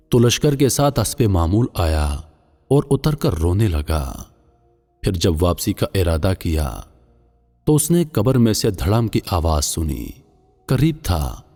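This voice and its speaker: Deep-voiced man narrating in heavy reverb. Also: dark tone. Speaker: storyteller, narrator of moral stories. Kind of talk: scripted narration of a religious moral tale. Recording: long hall-like reverb.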